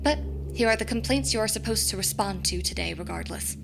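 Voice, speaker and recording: An actress playing a menacing corporate branch manager in an audio drama, composed, condescending and businesslike. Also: female voice, mid-range voice, expressive, brisk, noisy background, natural voice. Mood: brisk, cool.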